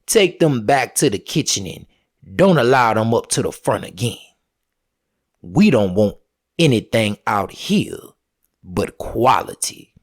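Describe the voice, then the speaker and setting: A man's voice: fairly low pitch, sing-song, measured pace, full character voice. A man voicing a sly, bossy trickster folk hero in a folktale, with a folksy, persuasive manner. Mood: haughty, bossy. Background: music.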